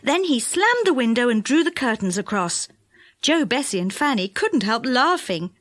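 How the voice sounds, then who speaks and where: Animated, high-voiced woman storyteller. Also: moderate pace, no room sound, studio quality. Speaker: audiobook narrator. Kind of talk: scripted children's story narration.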